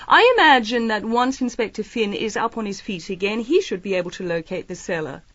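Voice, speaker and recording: A woman's voice: mid-range voice, a little fast, fairly bright tone, no echo, highs cut off, natural voice. An actress playing a shrewd, playful lady detective in a radio mystery, politely probing with mock innocence. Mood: coolly amused. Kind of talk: radio mystery drama acting.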